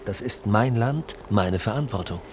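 An actor in a German radio drama playing a stubborn, brooding farmer and husband who talks in terse, blunt phrases. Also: male voice, lowish voice, animated, moderate pace, slight background noise, natural voice. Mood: stubborn, challenging. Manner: terse, blunt, hushed at times.

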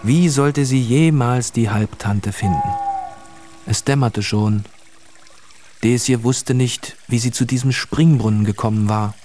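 A man narrating a children's radio story in a measured storytelling manner. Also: medium pitch, darkish tone, slight background noise.

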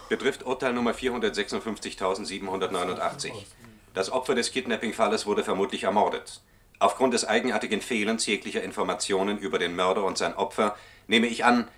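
An actor playing an officious male records clerk who dictates memos in a formal, measured, bureaucratic way for a German radio play. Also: fairly low pitch, fairly bright tone, natural voice. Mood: confidential, official.

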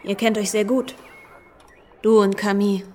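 A woman's voice: mid-range voice, level tone, normal speed, mellow, a little hiss or hum, lightly acted. An actress playing a cool, defiant woman who gives as good as she gets in a German radio drama.

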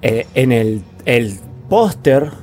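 A man's voice: medium pitch, very animated, very slow, a little mellow. Casual Argentine man, music behind. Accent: Argentine Spanish. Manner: colloquial, slangy, swearing. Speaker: podcast co-host. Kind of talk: casual film chat.

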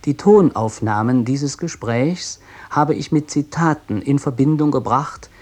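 A man reading a scripted introduction as an author, in a formal, measured, academic manner. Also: mid-range voice, warm tone. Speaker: author introducing his radio play. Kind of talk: scripted introduction read aloud.

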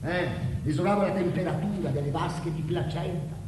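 A man's voice: fairly high pitch, moderate pace, noisy background, close to an everyday voice. An actor playing a haughty, scornful male guardian in a theatrical radio drama, declaiming in mocking, old-fashioned phrases. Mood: indignant, scornful. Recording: echoey hall, distant, music behind.

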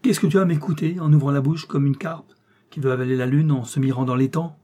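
A man voicing a gruff, hot-tempered, hard-drinking old Russian general in an audiobook, blustering and barking his orders. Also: mid-range voice, moderate pace, darkish tone, close to an everyday voice. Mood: irritated, mocking. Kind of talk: audiobook character dialogue.

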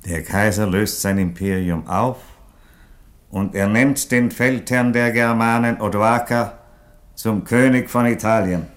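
An actor playing an ironic, dignified Roman emperor in a German radio play, speaking in a measured, theatrical way. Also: male voice, lowish voice, natural voice. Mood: formal, commanding. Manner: measured, theatrical, lingering on phrases. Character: ironic, dignified Roman emperor resigning his empire, male.

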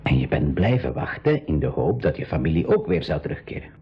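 Kindly radio-play uncle: very raspy, swooping intonation, earnest and deliberate, muffled old recording. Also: male voice, very deep voice, very animated, exaggerated voice. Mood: reassuring. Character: kindly, earnest uncle leading a travelling group. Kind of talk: 1980s school radio play acting. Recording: dull lo-fi 1980s radio recording.

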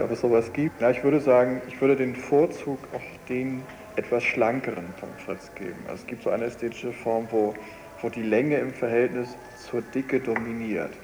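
A man's voice: mid-range voice, a little mellow. A man speaking casually and hesitantly, searching for his words as he muses in an interview. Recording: faintly noisy cassette radio recording.

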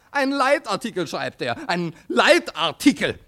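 An actor playing an excitable, bossy local newspaper editor in a German radio play, blustering and impatient, often stopping and starting as he thinks aloud. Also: high-pitched, slow pace, full character voice. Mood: indignant, whining.

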